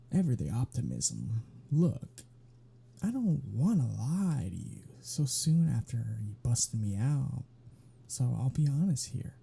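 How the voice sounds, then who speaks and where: Sly flirtatious male vampire played by a man for ASMR roleplay: very dark, breathy voice, sardonic teasing drawl. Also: mid-range voice, heavily acted. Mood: wry, needling. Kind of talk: ASMR roleplay audio drama.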